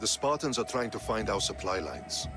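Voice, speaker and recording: A man's voice: lowish voice, expressive, normal speed, close to an everyday voice. A man playing a stern, commanding general with a disdainful manner in a video game cutscene. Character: stern, scornful male Athenian general. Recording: music under the voice.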